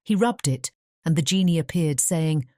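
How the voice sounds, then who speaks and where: A woman narrating a fairy tale audiobook in a measured, formal storytelling manner. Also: sits low, animated, dark tone, dry recording, studio quality.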